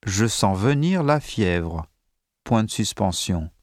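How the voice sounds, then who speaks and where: A man reading a school dictation text slowly and deliberately, speaking the punctuation marks aloud. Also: on the low side, lively intonation, no echo, studio quality. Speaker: dictation reader.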